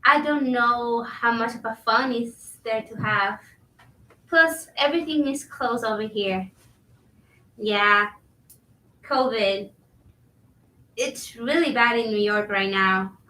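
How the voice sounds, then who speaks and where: A woman's voice: fairly high pitch, slow pace, long pauses, pushed and bright. A woman playing a polite, dutiful immigrant kitchen cook in a staged reading, with a Latin American Spanish accent. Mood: chatty, friendly. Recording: roomy, distant microphone.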